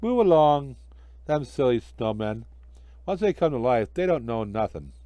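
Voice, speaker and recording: A man voicing a gruff, scolding traffic cop in a children's storybook, exasperated and blustery. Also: mid-range voice, very animated, unhurried, mellow, dry recording. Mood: grudging. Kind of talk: amateur children's storybook reading.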